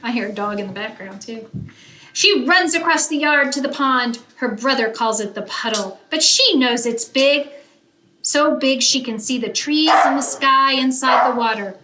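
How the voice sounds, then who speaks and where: A woman reading a picture book aloud to children like a teacher, with expressive, emphatic narration that draws out key words. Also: high voice, normal speed. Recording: roomy echo, faint background noise.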